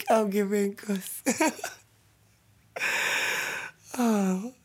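A woman playing an affectionate, giggly wife in a radio play, teasing her husband in halting, repetitive, naturalistic dialogue. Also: fairly high pitch, slightly rough, full character voice. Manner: giggly, halting, repeats herself.

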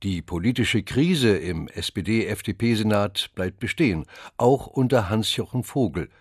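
A man narrating a documentary in a measured, informative read. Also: lowish voice, lively intonation, slightly rough, no room sound, clean studio sound. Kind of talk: scripted narration.

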